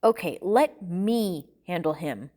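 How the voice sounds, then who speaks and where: A woman voicing an exasperated, menacing bartender man interrogating a captive, with slow, deliberate, threatening delivery in an audiobook story. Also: fairly low pitch, animated, warm tone.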